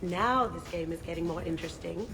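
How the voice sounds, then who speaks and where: A woman's voice: fairly low pitch, a little fast, natural voice, rough recording. A woman voicing a self-assured, flirtatious game character with a teasing, suggestive way of talking. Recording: music playing behind the voice.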